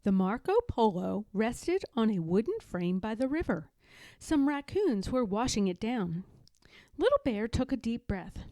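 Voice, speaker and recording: Slow, mellow woman reading a storybook. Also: on the high side, big pitch swings, dry recording. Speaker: storybook reader. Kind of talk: expressive children's read-aloud narration.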